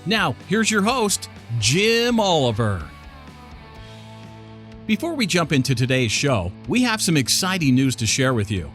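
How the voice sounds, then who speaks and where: A man's voice: a little high, sing-song, unhurried, a bit bright. A man reading a scripted show intro and promo in an upbeat, promotional way. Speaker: show announcer. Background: faint guitar music.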